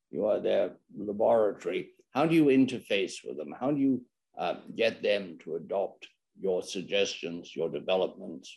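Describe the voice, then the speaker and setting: Gravelly-voiced man asking direct questions. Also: low voice, a little mellow. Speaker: interviewer. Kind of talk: interview questions.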